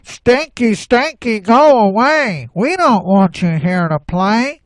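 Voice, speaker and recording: A man voicing small, excitable forest animals in a children's story, wailing and exclaiming. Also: high-pitched, takes it slowly, no room sound, clean studio sound, voice pushed up. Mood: rejecting.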